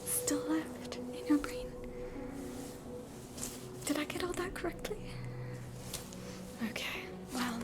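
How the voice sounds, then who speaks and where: A woman with an Australian accent whispering a gentle, reassuring ASMR roleplay as a spooky hospital doctor. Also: sits high, slow, long pauses, loud music behind the voice. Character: soothing, spooky hospital doctor treating hauntings. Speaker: ASMR performer playing a doctor.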